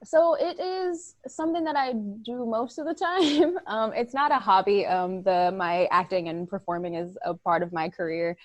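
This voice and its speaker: A woman actor and playwright chatting as a guest on a video call, laughing often and filling pauses with ums. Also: mid-range voice, no echo. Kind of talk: casual video-call conversation.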